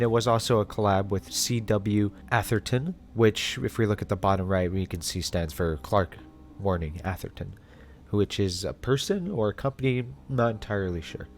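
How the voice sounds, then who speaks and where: A man's voice: fairly low pitch, slight background noise. A man hosting a casual analysis video, talking conversationally with wry asides. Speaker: host.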